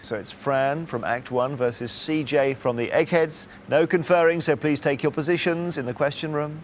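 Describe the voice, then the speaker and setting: A middle-aged man hosting a quiz show, brisk and teasing as he reads questions in a TV studio. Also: a little high, slight background noise.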